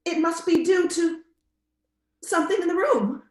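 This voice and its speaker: A woman's voice: very high voice, animated, moderate pace, echoey room. A woman playing a fearful, agitated sister in an old-time radio ghost drama, anxious and emphatic. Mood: uneasy.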